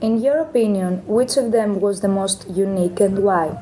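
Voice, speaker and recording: Teen girl from Greece, student podcast host, moderating, mid voice, clear hiss behind. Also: mid-range voice, normal speed, warm tone, poor audio. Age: teens. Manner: asks questions, moderating. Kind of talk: podcast hosting. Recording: noticeable background hiss.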